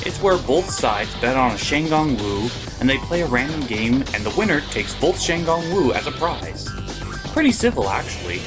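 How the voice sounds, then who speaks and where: A man narrates a scripted comedy review with a medium-pitched voice that swings around expressively. He is theatrical, crude and opinionated, like a show host making his case. Music plays clearly behind him. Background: music bed.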